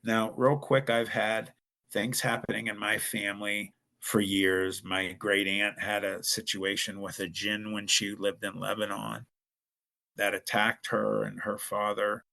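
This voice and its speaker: Gravelly, bright-toned man telling a story. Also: lowish voice, flat delivery, unhurried, low-quality recording. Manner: earnest, rambling, with frequent restarts. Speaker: podcast guest. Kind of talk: casual storytelling.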